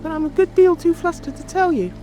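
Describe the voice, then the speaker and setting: Tiny squeaky flustered lizard. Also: female voice, very animated, brisk, warm tone, poor audio. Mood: flustered, feeble. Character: small, feeble, squeaky, flustered male lizard underling. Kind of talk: dramatic audiobook character acting. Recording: music and rain ambience behind.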